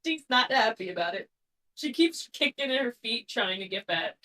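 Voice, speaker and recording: A woman's voice: on the high side, animated, normal speed, bright, forceful tone, recorded from a distance, rough recording. A woman chatting casually and bluntly, with some swearing, during a game playthrough. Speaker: streamer.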